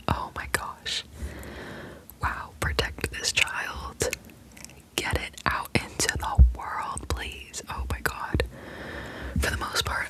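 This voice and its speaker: A young woman hosting an ASMR channel, whispering softly as she reads stories, easily moved to tears. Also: rough recording.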